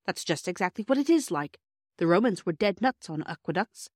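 A woman voicing a cheerful, kindly male country doctor in a storybook, brisk, jovial and reassuring. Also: lowish voice, animated, darkish tone, no echo, clean studio sound. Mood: cheerful, instructive. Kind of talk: storybook character dialogue.

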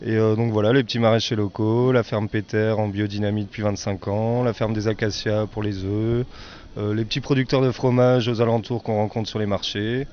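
A man's voice: on the low side, little pitch movement, a little fast, darkish tone, lo-fi sound, slight background noise. Matter-of-fact man at a food stall. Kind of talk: casual interview answers. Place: outdoor festival.

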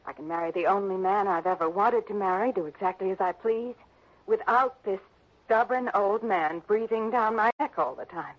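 An actress playing a defiant, headstrong young adopted heiress in a 1960s radio drama. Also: female voice, mid-range voice, moderate pace, close to an everyday voice. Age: young adult. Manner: headstrong, defensive. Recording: dull lo-fi old radio broadcast.

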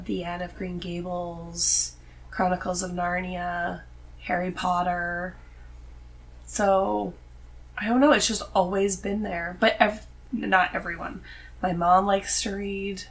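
Female podcast guest, gravelly fry on a mid-pitched voice, loose and conversational, slight background noise. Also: poor audio. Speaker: podcast guest, host's wife. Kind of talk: casual conversation about reading.

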